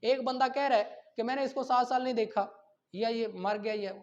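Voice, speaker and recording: Male law lecturer, Pakistani accent, high voice, didactic delivery that checks for understanding, on a dull lo-fi recording. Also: fairly even intonation, normal speed, a little mellow. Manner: didactic, checks understanding often, switches between Urdu and English. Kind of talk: classroom lecture, reading statutes aloud and explaining them.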